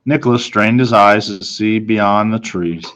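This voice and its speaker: A man narrating a children's novel as a reader, in a measured, suspenseful storytelling manner. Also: fairly low pitch, fairly bright tone, low-quality recording.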